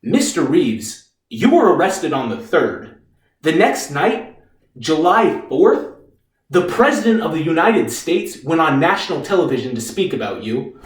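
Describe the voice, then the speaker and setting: A man playing a cool, condescending army defense lawyer in a stage drama, deliberate and probing. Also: a little high, big pitch swings. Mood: cold, probing. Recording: echoey room, distant microphone.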